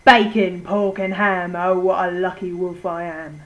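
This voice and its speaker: A woman doing a loud gloating wolf. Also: lowish voice, fairly even intonation, moderate pace, highs cut off, lightly acted. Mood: menacing. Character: greedy boastful male wolf.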